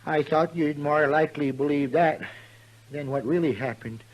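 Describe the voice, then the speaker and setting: A man's voice: a little high, normal speed, natural voice, poor audio. An actor playing a frail, tired old man who tells his tale in a folksy drawl, in a 1950s western radio drama. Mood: weary, confiding. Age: older. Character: weary old man, proud tall-tale teller, dying. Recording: vintage 1950s radio recording.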